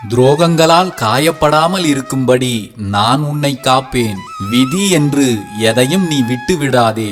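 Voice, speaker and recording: Soothing protective deity voiced by a man, calm devotional address, mid-pitched, faint music underneath. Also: natural voice. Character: gentle, protective male deity speaking to a devotee. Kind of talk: scripted devotional narration. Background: soft background music.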